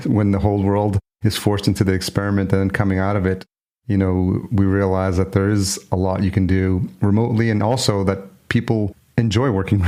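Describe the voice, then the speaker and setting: Low-voiced American man hosting an interview. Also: mellow. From New York, United States. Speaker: podcast host. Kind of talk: conversational interview.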